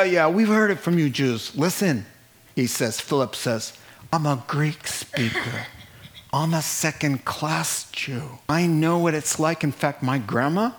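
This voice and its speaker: A middle-aged man preaching a sermon in an animated way, acting out the people he quotes and laughing at his own jokes. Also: on the high side. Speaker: pastor.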